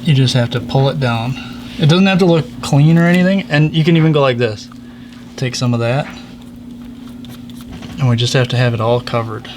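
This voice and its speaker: A man's voice: mid-range voice, moderate pace, long pauses. A man talking casually while working, guiding a helper through a craft with exclamatory, encouraging remarks. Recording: noisy room, clear background noise.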